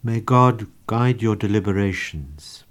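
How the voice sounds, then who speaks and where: A man voicing a kind older priest who speaks softly and with patience. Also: fairly low pitch, expressive, voice pushed down. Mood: firm. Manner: soft-spoken, measured and reassuring.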